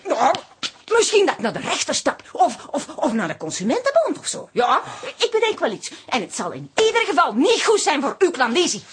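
An actress playing a sharp-tongued, indignant middle-aged woman in a radio drama, haughty and accusing. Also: sits high, huge pitch swings, brisk. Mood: indignant, threatening.

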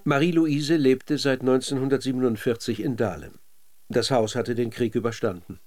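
Male narrator, mid-range voice, literary and measured, for a scripted radio documentary. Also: medium pitch, steady tone, music in the background.

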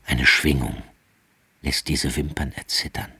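Tiefer, eindringlicher Erzähler eines Hörspiels. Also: male voice, rumbling low, unhurried, gravelly, slightly rough, no room sound, full character voice. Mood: hushed, awed. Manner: intense, incantatory, swinging from hushed to forceful. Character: grave male narrator of a visionary, nightmarish tale. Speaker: narrator. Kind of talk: dramatic literary narration in a radio play.

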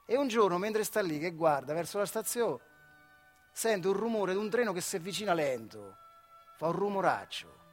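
A man tells a story as a theatrical monologue, in a rather high voice with a central Italian accent from the Marche. He is colloquial and emphatic, addressing the listener directly, with faint music behind him. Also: sing-song, moderate pace. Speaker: stage actor and storyteller.

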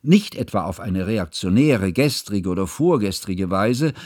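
An actor playing an earnest, troubled scholar who narrates a literary radio drama in a measured, formal, precise way. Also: male voice, fairly low pitch, animated, a little mellow, no echo, studio quality.

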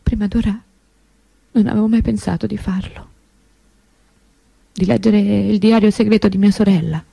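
A woman's voice: mid-range voice, steady tone, creaky, warm tone, breathy edge, no echo, rough recording. An actress playing an anguished young woman remembering her missing sister in an intimate, hesitant radio monologue. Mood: sad, bewildered, then wistful, tender. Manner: confiding, hesitant, conversational with pauses and repetitions.

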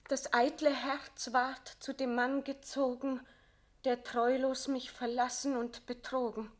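A woman's voice: high-pitched, level tone, unhurried. An actress playing a proud, remorseful queen resigned to death, speaking classical verse in a solemn, fervent radio drama style. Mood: anguished confession.

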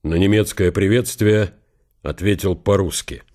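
Deep-voiced male audio drama narrator. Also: fairly even intonation, a little slow, a little mellow. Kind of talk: literary scripted narration.

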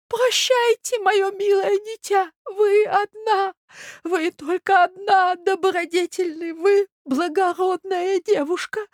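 A woman voicing a bewildered, genteel old prince in a literary audiobook, plaintive and childlike, with faltering, drawn-out speech. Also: very high voice, a little mellow, dry recording, clean studio sound.

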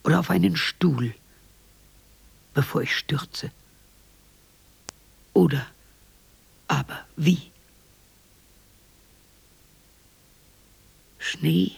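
An actor playing a broken, bitter old man in a radio drama monologue, hoarse and halting, often breaking into whispers. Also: on the high side, dry recording, heavily acted. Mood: bleak, wondering.

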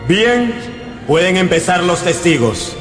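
An actor playing a stern judge, formal and authoritative, in a radio drama. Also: male voice, sits high, sing-song, slow pace, over music, full character voice. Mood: stern, commanding. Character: stern, commanding male judge presiding over a hearing.

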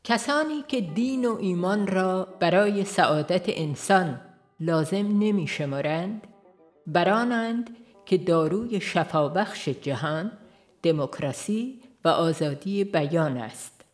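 A woman reading a nonfiction audiobook aloud in a measured, earnest manner, posing rhetorical questions as she goes. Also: on the low side, animated, faint music behind. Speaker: audiobook reader. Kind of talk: scripted nonfiction narration.

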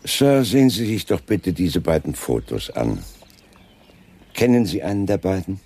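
An actor playing a polite, persistent Scotland Yard chief inspector in a German radio crime drama, formal and courteous. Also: male voice, low-pitched, very animated, measured pace, warm tone, slightly rough, heavily acted. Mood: polite, insistent.

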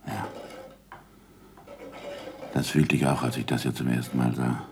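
Older scholar, gruff, didactic, impatient, rock-bottom pitch, creaky, old radio drama with slight hiss. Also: male voice, fairly even intonation, a little mellow. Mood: hushed wonder. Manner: deliberate, didactic, probing questions. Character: Galileo, gruff scholarly older man, impatient yet passionate teacher. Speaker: actor in a radio play. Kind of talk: 1960s German radio drama acting.

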